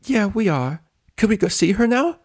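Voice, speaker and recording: A man voicing an excitable, squealing young female pony in an audiobook story, bubbly but close to tears. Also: sits high, moderate pace, pitched above the performer's own voice. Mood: excited, squealing.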